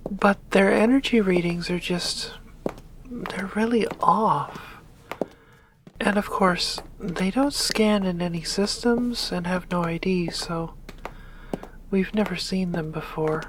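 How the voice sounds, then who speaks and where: A woman playing a composed succubus demon doctor in an ASMR roleplay, breathy, measured and dryly teasing. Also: mid-range voice, noisy background, natural voice.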